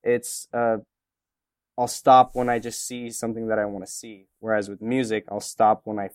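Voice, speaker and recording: Man, Romanian native, smooth resonant voice, dry close sound, reflective interviewee. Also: lowish voice, little pitch movement, normal speed, no room sound. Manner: reflective, rambling with many fillers. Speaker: interviewee, photographer and musician. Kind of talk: casual interview answers.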